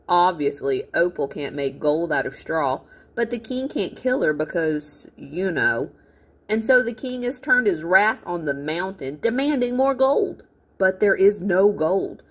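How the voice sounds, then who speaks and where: Female reader as young girl, storybook, grumpy, feisty, blunt delivery, slightly husky. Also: on the low side.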